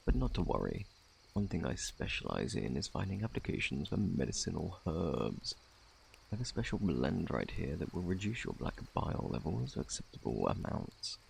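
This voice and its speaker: Deep, creaky British plague doctor played by a man. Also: slight background noise, heavily acted, rough recording. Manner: formal, gentle, reassuring, old-fashioned wording. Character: courteous, scholarly male plague doctor examining a patient. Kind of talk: ASMR roleplay, soft-spoken. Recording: faint night insect ambience.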